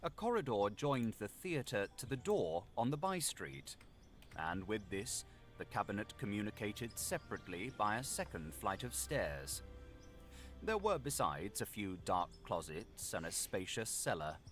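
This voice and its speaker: A man narrating a gothic audiobook in a measured, literary, dramatic delivery. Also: fairly low pitch, sing-song, a bit bright, faint music behind.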